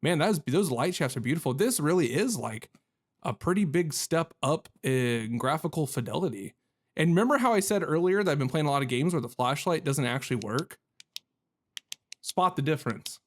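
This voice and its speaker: A man's voice: on the high side, lively intonation, moderate pace. Casual, rambling man commentating on a game. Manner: rambling and jokey, with goofy mild exclamations. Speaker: gamer hosting a playthrough video. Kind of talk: casual, reactive gameplay commentary.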